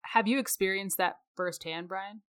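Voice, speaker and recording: A woman's voice: medium pitch, moderate pace, a bit bright. A woman co-hosting a conversational podcast, speaking in an opinionated, emphatic way. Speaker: podcast co-host.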